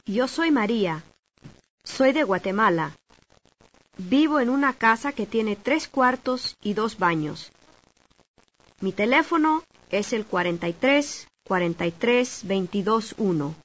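A woman's voice: mid-range voice, lively intonation, takes it slowly, a bit bright. A woman reading scripted lines for a language course with careful enunciation. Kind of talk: scripted dialogue for a language lesson. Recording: dull lo-fi tape audio.

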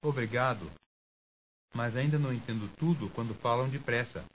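A man playing a polite American visitor asking for directions in a careful, deliberate language-lesson dialogue. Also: medium pitch, close to an everyday voice. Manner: deliberate, clearly enunciated, courteous. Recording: muffled hissy old language tape.

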